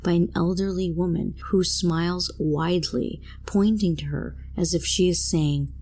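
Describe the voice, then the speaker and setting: Low-pitched woman narrating horror. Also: fairly even intonation, unhurried, darkish tone, husky. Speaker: story narrator. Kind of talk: scripted horror story narration.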